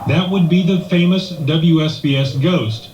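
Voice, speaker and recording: A man's voice: a little high, unhurried, lo-fi sound, noisy background. A man working as a radio DJ, narrating a scripted live report to listeners. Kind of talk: scripted radio broadcast narration with acted skit. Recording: echoey room, music behind.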